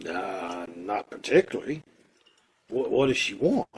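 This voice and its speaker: A man's voice: on the low side, big pitch swings, unhurried, a little hiss or hum. A man acting a stubborn, unrepentant condemned murderer in an old-time radio play, plain-spoken and stoic. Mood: indifferent, wry. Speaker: amateur radio-troupe actor.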